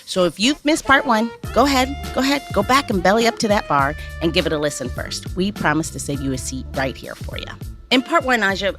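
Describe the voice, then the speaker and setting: A woman's voice: medium pitch, big pitch swings, a little fast, over music. A woman hosting a podcast in a conversational, chatty way, repeating phrases for emphasis. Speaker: podcast host.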